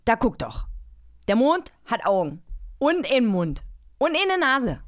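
A woman's voice: on the high side, very animated, unhurried, dry recording, studio quality. A woman voicing a dreamy cartoon elephant from a children's radio play with a Berlin accent. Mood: wondering, insistent. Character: dreamy talking cartoon elephant in love, read in Berlin dialect. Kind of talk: playful cold reading of a children's radio play.

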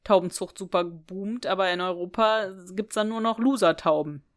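Medium-pitched woman chatting on a podcast. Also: moderate pace. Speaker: podcast co-host. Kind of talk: casual chat, retelling a story.

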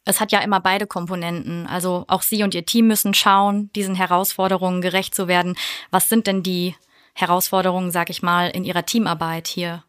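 A woman's voice: on the low side, level tone, a little fast, clean tone. A woman hosting an interview, asking questions in a friendly, affirming way. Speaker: interview host. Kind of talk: conversational interview.

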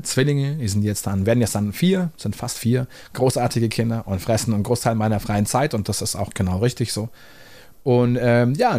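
A man's voice: medium pitch, a little mellow. A man in his forties hosting his own podcast, talking in a chatty, rambling way with many fillers. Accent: German. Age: 40s. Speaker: podcast host. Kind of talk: casual monologue.

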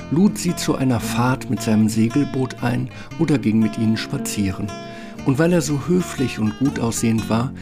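Gravelly male fairy-tale narrator. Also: medium pitch.

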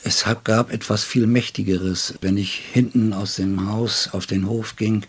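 Deep, husky older man, thoughtful. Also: steady tone. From northern Germany. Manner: thoughtful, halting, tag questions. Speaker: writer, interview guest. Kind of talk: reflective literary interview.

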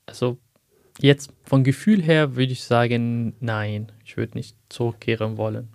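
Soft-spoken young man. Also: mid-range voice, level tone, creaky, clear, resonant tone, no room sound, studio quality. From Syria. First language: Arabic. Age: young adult. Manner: soft-spoken, reflective, halting. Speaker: guest. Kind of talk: personal interview.

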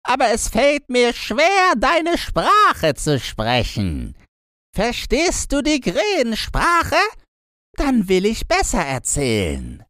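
Man voicing a slow, swooping storybook crow. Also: very high-pitched, huge pitch swings, bright, forceful tone, dry recording, clean studio sound, pitched above the performer's own voice, full character voice. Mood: earnest, knowing. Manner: deliberate, drawn-out, storytelling delivery. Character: talkative, earnest crow telling palace gossip. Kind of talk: fairy-tale audiobook character voice.

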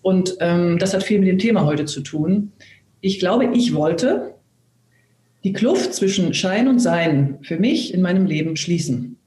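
A woman's voice: lowish voice, lively intonation, measured pace, warm tone. A woman in her fifties from Germany speaking reflectively as an interview guest, searching for her words. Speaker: guest, actress, voice artist and coach. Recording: roomy, echoing sound.

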